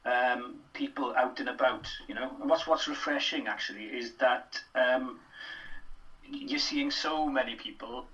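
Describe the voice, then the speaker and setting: A man's voice: medium pitch, a little slow, fairly bright tone, recorded from a distance, dull audio. A middle-aged Welsh man, a drama lecturer, chatting as a podcast guest with many fillers and restarts. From Wales. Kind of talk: casual podcast interview.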